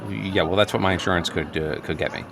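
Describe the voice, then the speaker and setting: A man's voice: low-pitched, quick pace, vocal fry, over music. An actor playing a neurotic, agitated office worker in his late thirties, wordy and hesitant, justifying himself point by point in improvised audio comedy. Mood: defensive, resigned. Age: late 30s. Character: neurotic, agitated office worker newly rejecting social norms.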